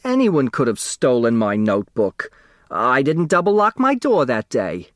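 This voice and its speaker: A man's voice: medium pitch, huge pitch swings, a little slow, dry recording, clean studio sound. A man voicing a fussy, dramatic, haughty and scornful woman in an audiobook. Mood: indignant. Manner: haughty, indignant.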